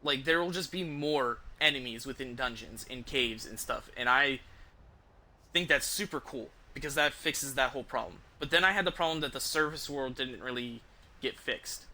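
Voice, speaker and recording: A man talking casually on a game livestream, rambling in an informal, enthusiastic and halting way. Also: medium pitch, bright, forceful tone. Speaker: game streamer.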